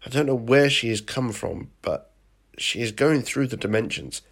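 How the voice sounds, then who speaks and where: A man acts as a grave, earnest superhero in an amateur audio drama. He speaks in measured phrases at a medium pitch, somber and resolute. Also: lively intonation, slightly rough. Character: Mark, somber earnest male ranger hero seeking help. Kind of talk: amateur audio fan fiction dialogue.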